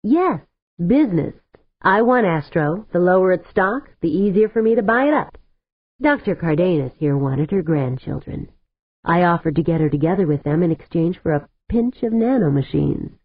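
A woman's voice: lowish voice, animated, normal speed, no room sound. A woman reading a smug, scornful tycoon. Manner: sneering, dismissive. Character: smug ruthless male tycoon, scheming and mocking. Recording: tinny phone-like sound.

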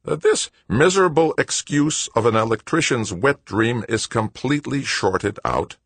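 A man voicing a gruff, exasperated lunar worker who curses methodically in blue-collar speech for an audiobook. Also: medium pitch, lively intonation, normal speed, fairly bright tone, no echo, voice pushed up. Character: Wojo, gruff cursing male lunar worker, exasperated, salty-tongued. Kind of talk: audiobook character voice.

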